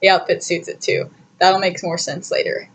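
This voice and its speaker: A young adult woman telling a personal story in a casual, chatty, animated way, giggly and often interrupting herself. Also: fairly low pitch, normal speed. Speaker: storytime vlogger.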